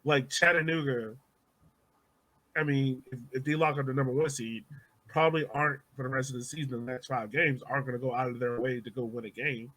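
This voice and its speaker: A man's voice: mid-range voice, fairly even intonation, a little fast, a bit bright, poor audio. A man hosting a casual soccer podcast, talking informally and emphatically like a devoted fan. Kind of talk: casual sports podcast chat.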